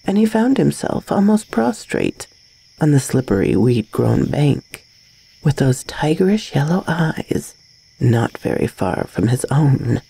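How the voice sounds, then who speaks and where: Woman storyteller, gravelly husky voice, mellow tone, measured dramatic gothic narration. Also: deep voice, low-quality recording. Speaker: storyteller reading a bedtime story. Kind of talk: scripted narration of a gothic tale.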